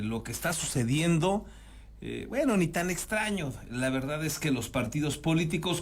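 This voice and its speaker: A man's voice: mid-range voice, slight background noise. A man with a Mexican accent anchoring the news in a conversational, commenting way. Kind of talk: news presenting with informal commentary.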